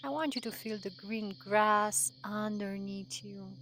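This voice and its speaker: A woman leading a guided meditation in a soothing, gentle manner, with drawn-out phrases and long pauses. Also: mid-range voice. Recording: voice over prominent background music. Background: meditation music.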